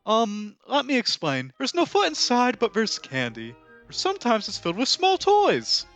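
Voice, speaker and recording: A man playing an excitable skeleton showman from a musical, high-voiced, grandiose and dramatic, moving between song and speech. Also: moderate pace, soft background music, exaggerated voice. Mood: explaining. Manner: grandiose, sweeping, theatrical delivery. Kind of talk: theatrical musical performance, half sung and half spoken.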